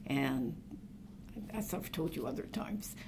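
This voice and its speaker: A woman's voice: extremely low pitch, expressive, takes it slowly, darkish tone, in a whisper. An older woman from New Jersey, reminiscing in an oral history interview, telling meandering, reflective stories full of fillers. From New Jersey, USA. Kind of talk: reminiscing conversation.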